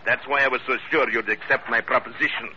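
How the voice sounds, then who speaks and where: An actor playing a smug, flattering pirate salvage boss in an old-time radio adventure, speaking formally and wordily with an ingratiating polish. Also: male voice, medium pitch, fast, lightly acted. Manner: suave, ingratiating, wordy and formal. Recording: dull, noisy vintage radio recording.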